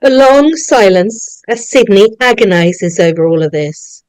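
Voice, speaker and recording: A woman's voice: mid-range voice, expressive, a little slow, a little mellow, no room sound. A woman narrator reading screenplay stage directions aloud.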